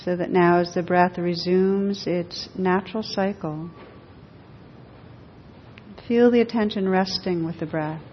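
Female meditation teacher, very slow and gentle, slightly low register; long hall reverb on the recording. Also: fairly even intonation. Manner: gentle, unhurried, with long pauses between short phrases. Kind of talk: guided meditation to a group. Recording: dull echoing hall recording, faint rumbling noise.

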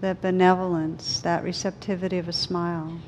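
A woman leading a guided meditation, speaking gently and soothingly in slow, unhurried phrases with pauses. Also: lowish voice, flat delivery, a little hiss or hum, low-quality recording. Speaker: meditation teacher.

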